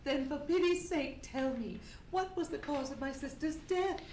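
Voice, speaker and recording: An anxious, genteel young lady played by a woman in a radio mystery, pleading and formal. Her voice is high, in a roomy live recording with faint noise behind it. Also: moderate pace, darkish tone, lightly acted. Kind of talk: old-time radio play acting.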